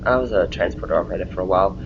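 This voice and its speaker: A man's voice: deep voice, racing, lightly acted. Easygoing young starship engineer, muffled noisy audio man acting. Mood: confident, deadpan. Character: young male starship engineer, easygoing, eager, slightly cocky. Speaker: engineering lieutenant. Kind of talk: science fiction audio drama acting. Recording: muffled audio with clear background noise.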